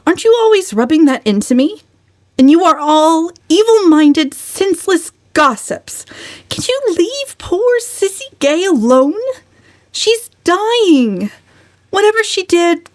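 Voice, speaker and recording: A brazen young woman from a dramatized novel, voiced by a female reader. Her voice sits high and lands each retort with biting emphasis. She is sharp-tongued and scornful, in a dry, close sound. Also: dry recording.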